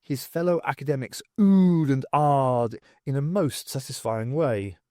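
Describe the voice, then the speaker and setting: A man reading scripted science fiction narration in an expressive storytelling manner. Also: medium pitch, measured pace, no room sound, studio quality. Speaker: audiobook narrator.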